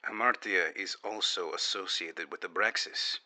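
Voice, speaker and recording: A man playing a stern, controlled secret agent in an audio drama, questioning a witness in a quiet, terse, probing way. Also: low-pitched, steady tone, normal speed. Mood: grave, probing. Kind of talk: dramatic audio fiction interview.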